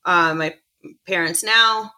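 A young woman, a professional soccer player, chatting casually about her background in an interview, telling stories in a relaxed way. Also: fairly low pitch, takes it slowly. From born in Chile, grew up in Illinois and Indiana. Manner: chatty, relaxed storytelling with frequent restarts.

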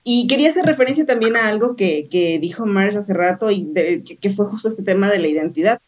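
A woman from Ecuador speaks as a guest in a casual conversation, rambling and hesitant, with many fillers. Her voice is mid-pitched, and the recording is dull and a little lo-fi. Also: expressive, a little fast, darkish tone.

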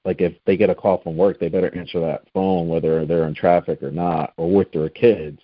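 A man with a deep voice hosting an interview, asking measured, thoughtful questions. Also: steady tone, dry recording. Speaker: interview host. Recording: dry, slightly dull lo-fi audio.

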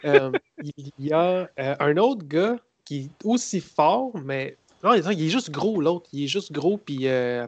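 A man with a Québécois accent chats on a podcast, recapping and mocking a film with dry sarcasm. He rambles and halts as he goes. His voice sits a little high, and the recording is close and dry. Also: darkish tone, no room sound. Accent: Québécois French. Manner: sarcastic, rambling, halting storyteller. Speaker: podcast co-host. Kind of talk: casual podcast chat about films.

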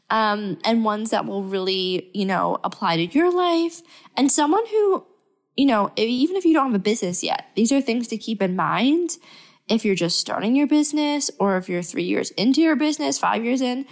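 A young woman hosting her podcast, chatting casually while sick and stuffy, upbeat and full of fillers. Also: on the high side. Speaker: podcast host. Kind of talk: casual solo podcast talk.

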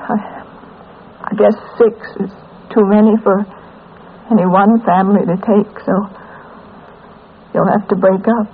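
A woman playing a dying, weary, tender mother in a 1940s radio drama, with weak, halting speech. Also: mid-range voice, steady tone, natural voice. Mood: weary, urgent. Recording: muffled, hissy old radio recording.

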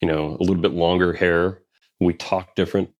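A man's voice: low voice, little pitch movement, fairly quick, dull audio. A man telling stories from his Special Forces service in a casual interview, rambling with many fillers and restarts. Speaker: guest, Special Forces veteran.

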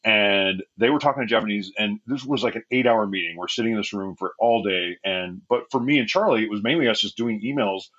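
A man telling stories in a relaxed, rambling way, full of fillers and short laughs. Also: on the low side, fairly quick, hardly any pauses, muffled recording. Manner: laid-back, lots of fillers and laughs, says "man" and "dude". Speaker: podcast guest, game designer. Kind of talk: casual chat.

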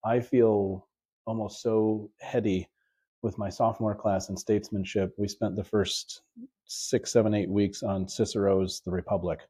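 A man's voice: lowish voice, little pitch movement, unhurried, dark tone, husky. A man hosting a podcast and talking casually as a teacher, often repeating words as he thinks. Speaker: podcast host and high school teacher. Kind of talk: casual conversation about teaching.

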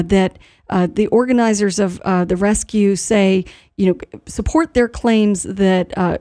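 A woman hosting a local radio news recap, speaking in a conversational, somewhat halting way. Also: medium pitch, warm tone, no echo, studio quality. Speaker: news host.

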